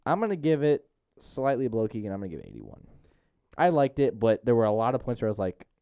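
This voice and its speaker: Animated man hosting a podcast. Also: medium pitch, quick pace, gravelly, clean tone, no room sound, clean studio sound. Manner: animated, trying to keep unruly co-hosts on track. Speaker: podcast host. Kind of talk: casual chat reviewing a film.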